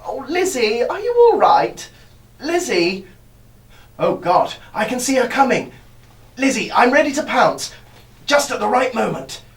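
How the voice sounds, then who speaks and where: A man performs a flustered, devoted young British sleuth's companion in a radio drama. His pitch moves around a great deal as he hesitates and exclaims in old-fashioned turns of phrase. The sound is distant and reverberant, like a hall. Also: sing-song, measured pace, long pauses, exaggerated voice. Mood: urgent. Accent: British English. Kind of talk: live radio play acting. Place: live stage performance recorded from the audience area. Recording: echoey hall recorded from far off.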